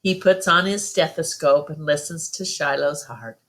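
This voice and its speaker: A woman's voice: low-pitched, normal speed, a bit bright. A woman storyteller reading a first-person children's novel aloud as narration.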